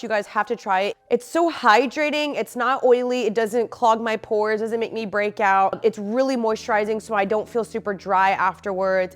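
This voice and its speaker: A young woman with a stuffy nose, chatting casually and openly to camera from her living room as a faith and lifestyle vlogger, with lots of fillers. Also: medium pitch. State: stuffy, sniffly.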